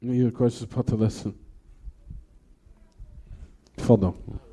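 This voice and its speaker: A man's voice: medium pitch, fairly even intonation, normal speed, mellow, breathy edge, low-quality recording. An American man teaching an Islamic lesson, explaining emphatically, repeating and rephrasing his points and speaking directly to his listeners. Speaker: religious teacher. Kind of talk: Islamic lesson, reading and explaining a text, then taking questions.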